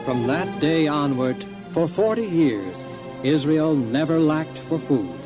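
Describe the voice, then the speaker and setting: A man's voice: a little high, animated, slow pace. A man reading scripted storytelling narration for a dramatized children's Bible story. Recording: loud music and crowd sounds behind. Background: loud music and crowd.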